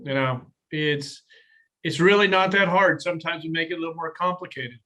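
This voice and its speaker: A man's voice: a little high, moderate pace, bright, forceful tone. A man hosting an interview, asking questions and dropping brief affirming interjections. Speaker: host. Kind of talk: conversational interview.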